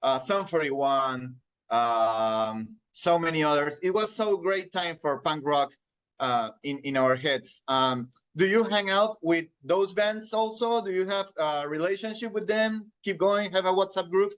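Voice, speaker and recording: A man's voice: fairly high pitch, unhurried, fairly bright tone. A man in his thirties with a Peruvian Spanish accent, interviewing casually and hesitantly as he searches for words in his second language. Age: 30s. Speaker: radio interviewer.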